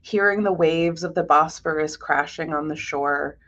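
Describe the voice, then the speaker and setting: A woman giving a prepared museum lecture as a curator, measured and articulate in a scholarly way. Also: deep voice, fairly bright tone, lo-fi sound. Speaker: guest lecturer, art historian and curator.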